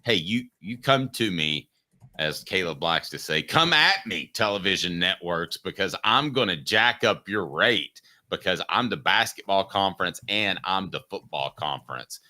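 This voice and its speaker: A man's voice: low voice, lively intonation, normal speed, pushed and bright. A man in his fifties hosting a sports talk show, chatty and jokey, asking long questions of his co-host. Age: 50s.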